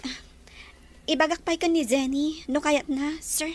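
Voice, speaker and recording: A woman's voice: sits high, steady tone, unhurried. An actress playing a shy, humble young working woman in a radio drama, hesitant and deferential. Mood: doubtful. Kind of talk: Ilocano radio drama acting.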